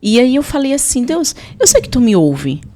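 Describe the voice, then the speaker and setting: A woman's voice: sits high, sing-song, normal speed, a crowd in the background. A woman with a Brazilian accent telling personal testimony as a podcast guest, emphatic, repeating phrases and voicing her own prayers.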